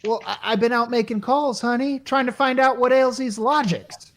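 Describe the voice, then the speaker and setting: A woman's voice: on the high side, normal speed, natural voice. A woman playing Frank's part as a flustered, henpecked married repairman, stammering nervously in a radio comedy. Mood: nervous, placating. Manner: stammering, hesitant.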